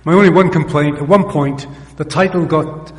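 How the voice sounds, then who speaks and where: Middle-aged Welsh-accented panelist, wry, echoey hall with long reverb. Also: male voice, a little high, moderate pace. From Wales. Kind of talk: conference talk, opening remarks.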